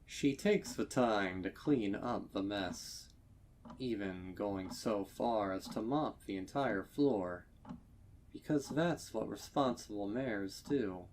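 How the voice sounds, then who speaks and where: A man reading a dark story as scripted dramatic narration, measured and with deliberate pauses. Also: fairly low pitch, slight background noise. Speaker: fan fiction narrator.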